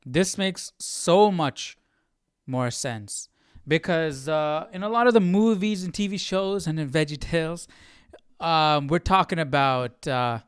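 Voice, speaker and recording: A man hosting a live Bible study with casual, animated commentary, thinking aloud in halting bursts. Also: on the high side, clear, resonant tone, no room sound, clean studio sound.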